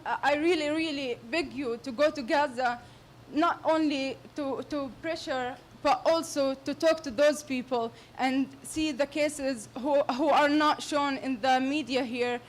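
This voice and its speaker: Woman, Palestinian Arabic accent, high voice, halting, earnest, many restarts. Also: fairly even intonation, slight background noise. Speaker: audience member. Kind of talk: public Q&A comment. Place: lecture hall.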